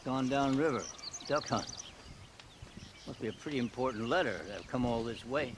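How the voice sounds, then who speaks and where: A man's voice: mid-range voice, normal speed, natural voice. A man playing an easygoing, folksy hunter with an American Western accent in a game cutscene. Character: folksy, easygoing Western huntsman, brother of a lawman. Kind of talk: video game cutscene acting. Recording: clear outdoor nature noise behind.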